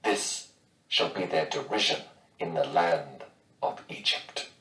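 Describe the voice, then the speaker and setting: A man reading scripture in a solemn, deliberate way. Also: gravelly, bright, forceful tone. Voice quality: low-pitched. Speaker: Bible reader. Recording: echoey room, distant from microphone.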